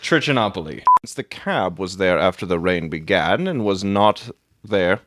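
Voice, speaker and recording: A man's voice: low voice, expressive, moderate pace, a bit bright. A man performer in a studio, bantering casually and retaking scripted lines. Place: recording studio.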